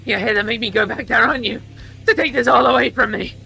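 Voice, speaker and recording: A woman voicing a cold, unhinged adult woman who speaks slowly and heavily, low and quiet, building to threats. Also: faint music behind, heavily acted. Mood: hostile threats. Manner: slow, heavy, low and quiet, then swelling.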